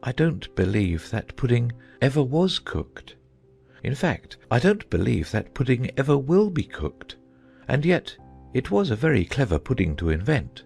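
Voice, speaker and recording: Male actor as muddled old knight inventor, earnest, solemn, wildly varied pitch, rambling storybook delivery, music underneath. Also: sing-song, unhurried, gravelly, darkish tone, breathy edge, full character voice. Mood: slow, downcast. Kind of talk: dramatized audiobook acting.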